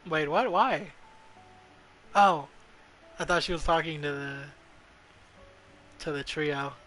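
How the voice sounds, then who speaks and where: A woman's voice: sits low, slow pace, a bit bright, faint music behind. A woman doing casual live reaction commentary on a game, tossing out blunt, sardonic quips.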